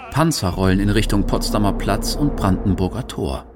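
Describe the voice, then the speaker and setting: A man's voice: lowish voice, expressive, moderate pace, over music. A man reading scripted documentary narration as a radio narrator.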